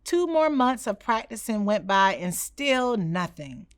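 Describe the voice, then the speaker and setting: A woman reading a children's storybook aloud in an expressive storytelling style. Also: medium pitch, unhurried, dry recording, studio quality. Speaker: storybook reader.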